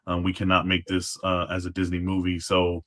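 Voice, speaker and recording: A man's voice: low voice, monotone, fairly quick, fairly bright tone. A man speaking as a guest in a casual interview chat. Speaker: guest, former guard at a historic site.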